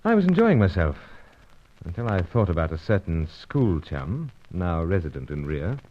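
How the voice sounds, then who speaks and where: Low-voiced, animated British agent, clipped and pipe-muffled; dull old radio drama recording. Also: male voice, moderate pace. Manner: clipped upper-class British, puffing on pipe. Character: suave British secret agent, pipe-smoking. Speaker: narrator and lead. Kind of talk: 1950s radio drama acting.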